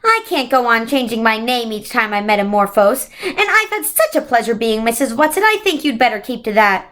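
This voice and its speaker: A woman voicing a majestic winged creature from a storybook, kindly, grand and gently encouraging. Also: fairly high pitch, animated, fairly quick. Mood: kindly commanding.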